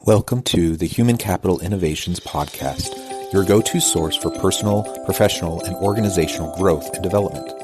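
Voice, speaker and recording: A man hosting a podcast, reading a scripted intro and a guest bio, then asking interview questions in a measured, earnest way. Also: sits low, music in the background. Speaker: podcast host.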